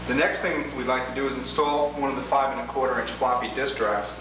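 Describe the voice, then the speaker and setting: A man giving an instructional product demo, explaining each step in a deliberate, methodical way. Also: mid-range voice, steady tone. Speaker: product demonstrator. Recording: roomy, echoing, noisy 1980s demo tape, distant mic.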